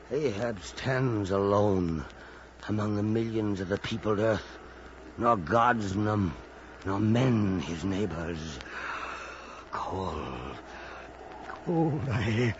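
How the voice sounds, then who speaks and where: An actor playing an obsessed, defiant old whaling captain, declaiming in grandiose, theatrical style in an old-time radio drama. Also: male voice, fairly low pitch, expressive, takes it slowly, pauses often. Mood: brooding. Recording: muffled, noisy old radio drama recording.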